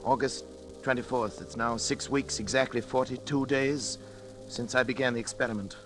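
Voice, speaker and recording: An actor playing an obsessed, paranoid middle-aged scientist in a theatrical, urgent 1940s radio drama style. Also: male voice, medium pitch, steady tone, normal speed, lightly acted. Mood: grandiose, obsessed. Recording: vintage radio recording with music behind.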